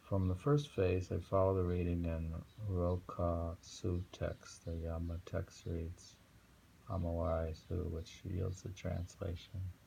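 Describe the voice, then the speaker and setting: A man reading poems aloud and commenting on them informally in a halting, musing way. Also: low voice, little pitch movement, gravelly, dark tone, slight background noise, low-quality recording. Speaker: poetry reader and commentator.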